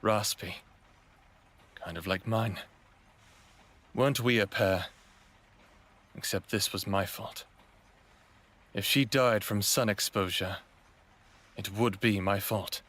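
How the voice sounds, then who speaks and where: A man acting a wounded, sardonic human in an audio drama, narrating and speaking with a raspy, emotional, self-deprecating delivery. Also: on the low side, slow, long pauses, a bit bright. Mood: guilty, shaken. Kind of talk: first-person audio drama narration and dialogue.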